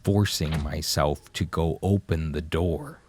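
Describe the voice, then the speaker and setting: A man's voice: extremely low pitch, takes it slowly, very noisy. A man reading scripted narration of a horror game in a dramatic, ominous storyteller manner. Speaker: video essay narrator. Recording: music behind the voice.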